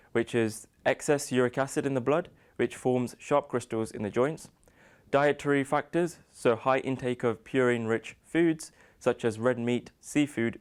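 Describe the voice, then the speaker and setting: Young british guy doing a student presentation, really clear smooth voice, bit hesitant. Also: mid-range voice. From United Kingdom. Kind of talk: slide presentation on a medical topic.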